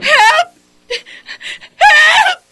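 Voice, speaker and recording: An actress in a Filipino radio drama playing a distressed young domestic worker, pleading and tearful. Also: female voice, very high-pitched, lively intonation, very slow, pushed and bright, exaggerated voice. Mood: pained, crying out. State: crying. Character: terrified, desperate young woman, abused overseas domestic worker.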